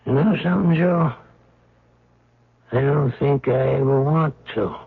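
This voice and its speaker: An actor playing an uneasy, folksy museum guard in a radio drama, husky, gravelly and hesitant, on a muffled old recording. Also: male voice, medium pitch, unhurried, exaggerated voice. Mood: uneasy, subdued. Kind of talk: 1970s radio drama acting.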